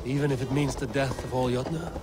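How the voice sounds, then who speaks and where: An actor playing a courteous, quick-witted Norse god lord in a video game, formal and measured. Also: male voice, mid-range voice, steady tone, heavy background noise, lightly acted. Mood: probing. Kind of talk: video game dramatic acting. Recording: loud outdoor ambience behind.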